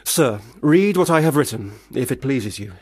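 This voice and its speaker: An actor playing a troubled, self-doubting young man who drinks, theatrical, swinging between loud protest and muttering in a radio play. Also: medium pitch, expressive, normal speed, breathy edge, no echo, clean studio sound. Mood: rambling, anxious.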